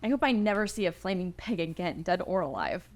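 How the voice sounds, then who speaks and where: A woman's voice: on the low side, big pitch swings, fairly quick. An actress playing an earnest, outspoken modern woman out of place in a fantasy screenplay table read. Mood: wry.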